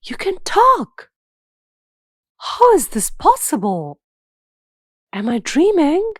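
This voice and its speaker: Woman as an eager boy with animated pitch. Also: takes it slowly, no echo, studio quality. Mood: astonished. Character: eager, earnest poor boy who loves books. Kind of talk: children's storybook character.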